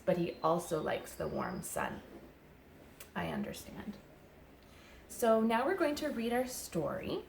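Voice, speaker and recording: A woman who teaches young children, chatting and reading aloud gently in simple, unhurried phrases with little explanations. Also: mid-range voice, slight background noise. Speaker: childcare educator leading story and song time for toddlers.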